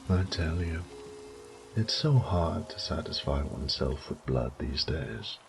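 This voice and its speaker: A man playing a sadistic, condescending vampire lord in a roleplay audio drama, theatrical and taunting, with breathy whispered phrases. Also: rumbling low, expressive, a little slow, noisy background, heavily acted. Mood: cold, savoring.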